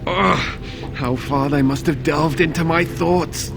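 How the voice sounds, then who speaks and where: A man playing a tormented young captive soldier in a fantasy video game, bitter and desperate, speaking in strained, halting anguish. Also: on the high side, creaky, over music. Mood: anguished defiance. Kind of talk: dramatic fantasy game acting.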